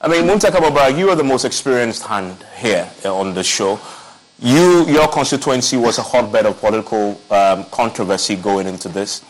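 A man's voice: on the low side, animated, moderate pace, rough recording. A man hosting a political talk show, asking upbeat, probing questions of his guests in the studio. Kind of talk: political interview.

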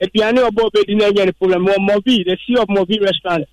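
A man's voice: high voice, steady tone, racing, no echo, low-quality recording. A Ghanaian man presenting live radio at an outdoor family event, chatting and asking questions in an upbeat way.

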